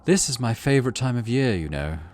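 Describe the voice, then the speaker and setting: A man's voice: medium pitch, big pitch swings, darkish tone, a little hiss or hum, lightly acted. Man reading as a wry, cryptic alien guide. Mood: teasing. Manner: wry, musing, deliberate. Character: wry, cryptic male alien forest guide, calm and teasing. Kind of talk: visual novel game dialogue.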